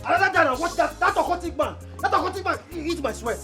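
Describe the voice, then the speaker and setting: An actress playing a furious woman who shouts and scolds her uncle with threats. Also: very high voice, expressive, fast, over music.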